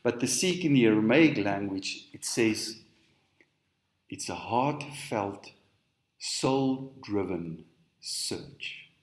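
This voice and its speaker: A man's voice: fairly low pitch, lively intonation, reverberant. Earnest Afrikaans-speaking man preaching. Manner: earnest, slow and emphatic. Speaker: spiritual teacher. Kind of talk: sermon. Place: on stage in a hall.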